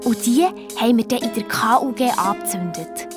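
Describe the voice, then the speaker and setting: Woman as an eager, high-voiced Swiss girl detective. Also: normal speed, over music, natural voice. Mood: determined. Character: eager, bossy young girl detective, hotelier's daughter. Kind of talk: children's radio play, lively first-person storytelling.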